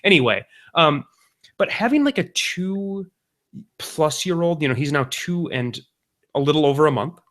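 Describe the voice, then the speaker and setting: A man in his thirties talks through a story on a casual podcast. His voice is bright and forceful. He wanders and jokes, with plenty of ums along the way. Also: a little high, expressive, normal speed. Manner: rambling, jokey storytelling with many fillers. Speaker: podcast co-host.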